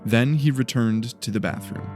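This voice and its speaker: A man's voice: mid-range voice, moderate pace. A man narrating dramatic fiction from a script as an audiobook storyteller. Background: faint music.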